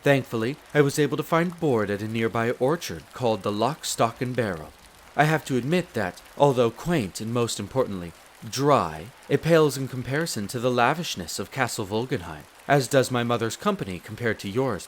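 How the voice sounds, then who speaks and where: An actor voicing a devoted, polite wolf butler who serves as head servant, in a scripted roleplay drama. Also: male voice, medium pitch, moderate pace, natural voice. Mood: reading aloud, earnest. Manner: polite, formal, deferential. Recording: rain noise over the voice. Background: steady rain.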